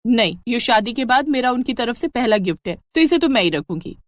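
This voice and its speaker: A young new bride acted by a woman narrator, sweet yet firm and quietly scheming. Her delivery is lively and dry, in a storybook moral tale. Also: fairly high pitch, a little fast, no room sound, close to an everyday voice.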